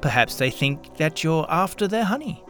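A man's voice: a little high, sing-song, normal speed, fairly bright tone, faint music behind. A man voicing a patient, friendly young boy in a children's storybook, with a simple, obliging and mildly puzzled manner. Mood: curious, obliging. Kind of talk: children's storybook readers theatre.

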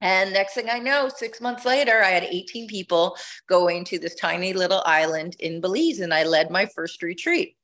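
A middle-aged woman with a lowish voice tells her story at an easy conversational pace. She is reflective and restarts her phrases while she gathers her thoughts. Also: normal speed, a bit bright. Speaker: podcast guest, retreat leader.

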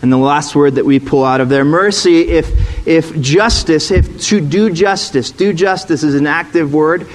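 Middle-aged male ex-pastor, slightly high voice, chatty sermon that draws in the congregation. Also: a little slow, hardly any pauses. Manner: conversational teaching, asks the room questions. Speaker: former pastor, guest speaker.

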